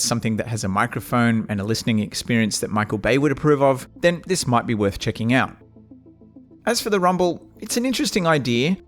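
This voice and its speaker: A man with a nasal voice, giving a measured, wry scripted review of audio gear. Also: mid-range voice. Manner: measured, wry and matter-of-fact. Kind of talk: scripted product review narration.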